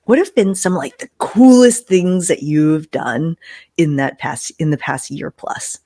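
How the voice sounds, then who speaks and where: A woman's voice: sits low, measured pace, warm tone, no echo, studio quality. A woman hosting a podcast, talking in an upbeat, emphatic, conversational way. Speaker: podcast host. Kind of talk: podcast intro and interview.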